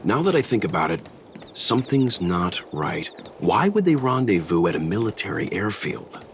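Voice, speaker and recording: Deep, measured mentor. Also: male voice, big pitch swings, noisy background. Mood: skeptical. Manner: measured, reasoning, gently amused. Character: calm, indulgent older gentleman, thoughtful mentor. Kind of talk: anime-style video game voice acting.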